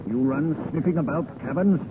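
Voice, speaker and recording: A man's voice: on the high side, a little fast, natural voice. An actor playing a gloomy, disapproving king's aide, dry and blunt, in a vintage radio drama. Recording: phone-like, noisy old radio broadcast.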